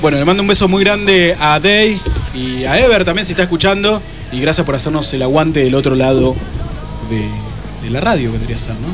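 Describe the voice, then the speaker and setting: A man's voice: fairly high pitch, brisk. An Argentine man hosting a casual live radio chat, talking in a jokey, informal, chatty way. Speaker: radio host. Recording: radio talk with music underneath. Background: music.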